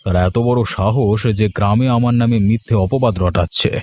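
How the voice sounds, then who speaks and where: A man's voice: lowish voice, normal speed, darkish tone, natural voice. A man playing a stern, vengeful village landowner in a cartoon story, speaking with authority. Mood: angry, resolute. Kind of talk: dramatic cartoon story dialogue.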